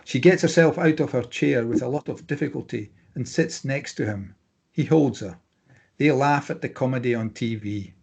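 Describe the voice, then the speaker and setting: A man's voice: mid-range voice, normal speed, creaky, a little mellow, slightly rough. A man reading stage directions as scripted narration at a drama table read.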